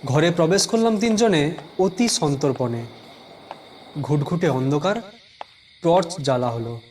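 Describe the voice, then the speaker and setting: A man telling a scripted first-person suspense story in a measured, dramatic way, with music behind him. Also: on the high side, animated, darkish tone, a little hiss or hum.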